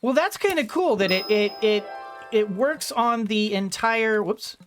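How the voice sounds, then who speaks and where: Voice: medium pitch, expressive, measured pace, a bit bright, soft background music. A game streamer giving casual commentary, rambling and thinking aloud while playing.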